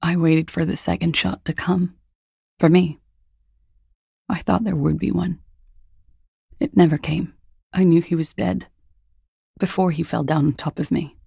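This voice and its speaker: Low, gravelly, world-weary female spy. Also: fairly even intonation, a little slow, long pauses, mellow, dry recording, clean studio sound, full character voice. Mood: haunted, subdued. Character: weary, guarded, troubled young female corporate spy in hiding. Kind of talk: video game character dialogue.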